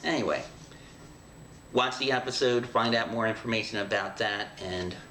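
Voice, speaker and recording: A middle-aged man hosting a show, talking casually to the viewer in a halting, rambling, conversational way. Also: fairly low pitch, pushed and bright. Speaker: host of an audio drama show. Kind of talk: casual show introduction to camera. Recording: echoey room with background hiss.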